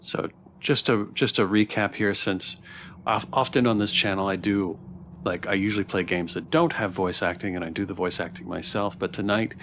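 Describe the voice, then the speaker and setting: A man hosting a game livestream with casual, halting, informal commentary. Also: low-pitched, steady tone, fairly bright tone, slight background noise. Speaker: streamer and channel host.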